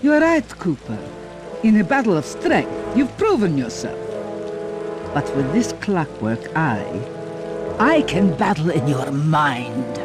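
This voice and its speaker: An actor voicing an arrogant, menacing intellectual villain in a cartoon game, haughty and theatrical. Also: male voice, fairly high pitch, very animated, takes it slowly, loud music behind the voice. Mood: sinister.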